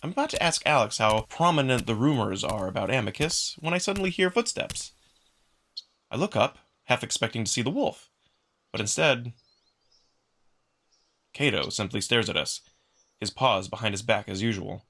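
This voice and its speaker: A man's voice: mid-range voice, expressive, moderate pace, pauses often, sharp tone. A man giving a dramatic first-person narration of a visual novel, acting as narrator. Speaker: narrator and voice actor.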